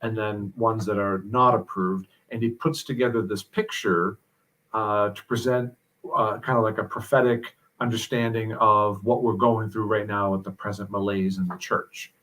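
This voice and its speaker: A man who is a guest on a talk show, explaining things thoughtfully with many pauses and fillers. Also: lowish voice, little pitch movement, fairly bright tone, low-quality recording. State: mild headache. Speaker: guest commentator. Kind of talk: casual discussion.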